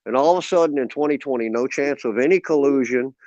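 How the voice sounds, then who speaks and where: A man's voice: medium pitch, fast talker, darkish tone, dry recording, highs cut off. A man in his fifties, calling into a livestream and ranting about politics in a preachy, emphatic way. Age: 50s. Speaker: caller on a livestream. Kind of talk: casual political rant.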